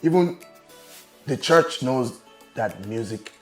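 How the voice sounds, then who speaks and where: A man with a raspy, mid-pitched voice tells his story in a relaxed, confident way. He sounds like a guest on an interview show. The room is roomy and echoey, with faint music underneath. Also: slow pace. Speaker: guest, business owner and show promoter. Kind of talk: casual interview talk.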